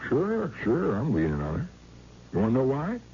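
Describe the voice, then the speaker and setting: A man playing a folksy, gruff small-town sheriff with a blustery manner, in an old-time radio drama. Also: mid-range voice, wildly swooping pitch, moderate pace, mellow, muffled recording, exaggerated voice. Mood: exasperated. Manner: folksy drawl, hesitant, blustery. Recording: thin, noisy vintage radio recording.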